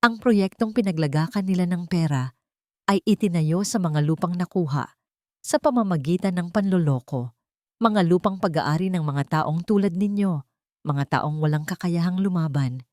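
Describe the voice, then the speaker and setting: A woman's voice: on the low side, moderate pace, a little mellow, no echo, clean studio sound, lightly acted. A woman voicing a serious, determined man bent on justice, speaking formally and insistently in an audio drama.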